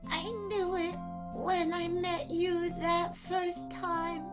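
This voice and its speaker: A woman's voice: very high-pitched, monotone. A woman playing a dying woman who says a tender, wry farewell in an improvised radio play. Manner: slow, halting farewell. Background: soft music.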